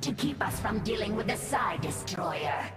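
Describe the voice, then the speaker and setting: An actress playing a stern alien brood mother lieutenant in a video game, formal and forceful. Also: mid-range voice, animated, measured pace, natural voice. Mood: urgent. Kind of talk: video game cutscene acting. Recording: echoing voice under music.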